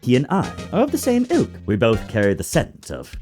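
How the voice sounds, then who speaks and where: A wildly swooping, obsequious bellboy. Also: male voice, medium pitch, huge pitch swings, fairly quick, music in the background. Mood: conspiratorial. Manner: obsequious, pompous, melodramatic. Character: eager, self-important, morbidly excitable hotel bellboy. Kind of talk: dramatized video game dialogue.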